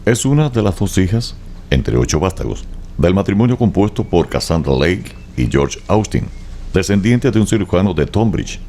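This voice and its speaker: A man delivering scripted biographical radio narration in Spanish, measured and expressive, like a storyteller. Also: low-pitched, a little hiss or hum. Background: faint music.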